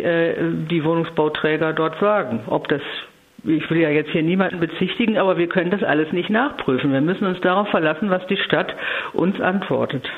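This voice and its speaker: Woman politician doing a radio interview over a narrow phone line, careful and emphatic, kinda measured. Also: lowish voice. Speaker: local politician, council faction leader being interviewed. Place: phone call to a radio programme.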